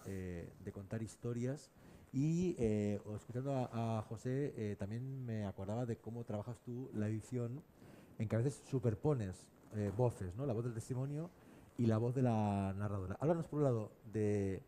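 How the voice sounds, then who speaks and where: Very dark-voiced, low male moderator. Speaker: panel moderator. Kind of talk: thoughtful public discussion. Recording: noisy live event room.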